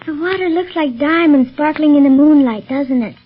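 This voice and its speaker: A woman's voice: high-pitched, normal speed, natural voice. An actress playing an inquisitive, persistent little girl in an old-time radio serial. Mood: curious. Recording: muffled vintage radio recording.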